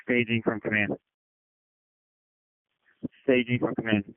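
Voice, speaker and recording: A man's voice: mid-range voice, little pitch movement, a little slow, no echo. A man speaking as a fire incident commander in terse emergency radio traffic, over a two-way radio. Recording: tinny narrowband fire radio transmission.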